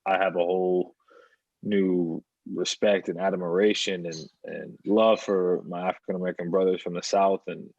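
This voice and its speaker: A young man from New York, a professional baseball player, talking calmly and reflectively as a podcast guest. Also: low voice, no room sound, muffled recording. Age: young adult. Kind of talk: podcast conversation.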